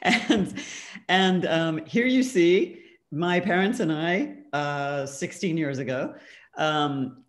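A woman's voice: deep voice, slow pace. A woman from California with a West Coast American accent, explaining her artworks in a conversation and often laughing between phrases. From California, USA. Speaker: artist guest. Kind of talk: conversational art talk.

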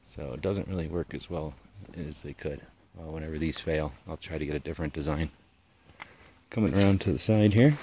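A relaxed man with a very deep, low voice ambles around his van, pointing things out with plenty of uh fillers. The handheld camera picks him up outdoors, and clear background noise sits under his speech. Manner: relaxed, rambling, frequent uh fillers. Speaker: vlogger. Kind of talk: casual walkaround van tour. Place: outdoors in a mountaintop parking lot beside a van. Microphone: handheld action camera.

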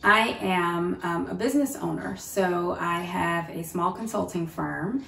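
A woman in her forties, a consultant, joining her daughter's vlog Q&A from a living room couch, witty and quick to laugh. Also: fairly low pitch, vocal fry, fairly bright tone, slight background noise. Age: 40s. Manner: witty, laughing, fluent business talk. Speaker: guest, consultant, mother. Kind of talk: casual Q&A chat. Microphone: camera mic, from a distance. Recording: roomy, a bit distant.

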